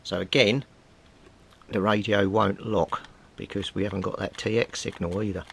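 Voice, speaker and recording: A middle-aged man with a deep, low voice talks through hands-on repair work at a home bench. He thinks aloud in an informal way, with a faint rumbling noise behind him. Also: level tone, normal speed, gravelly, fairly bright tone. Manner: thinks aloud while working. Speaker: hobbyist radio repairer and video maker. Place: indoor cluttered home workbench.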